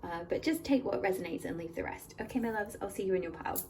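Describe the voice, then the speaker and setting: A woman with a slightly croaky voice from a cold, gently guiding viewers through a pick-a-card tarot reading at a table. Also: mid-range voice, animated, fast talker, far from the mic, slight background noise. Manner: gentle, warm address to viewers. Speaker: tarot reader and channel host. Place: indoors at a table, hands-only camera.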